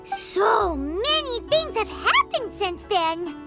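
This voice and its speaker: A tiny, childlike game fairy, chatters in an extremely high, squeaky voice. Her delivery swoops dramatically, excitable and exclamatory. Music plays prominently behind her. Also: takes it slowly, creaky, heavily acted. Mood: cheerful. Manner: exclamatory, speaks of herself in third person. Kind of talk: animated video game voice acting.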